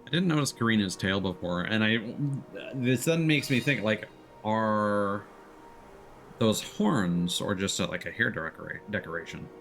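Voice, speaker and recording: A man's voice: lowish voice, lively intonation, a little slow, vocal fry, fairly bright tone. A man giving casual, rambling video game commentary as a live streamer, hesitant and stumbling over his words. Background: game music.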